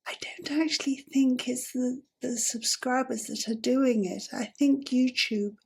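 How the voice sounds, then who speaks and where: A woman in her fifties talks to her viewers in an unhurried, meandering way, her voice gravelly and a little husky. She is dry and self-deprecating, and she sits slightly higher than most women. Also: steady tone. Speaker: YouTuber. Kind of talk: casual vlog chat to camera.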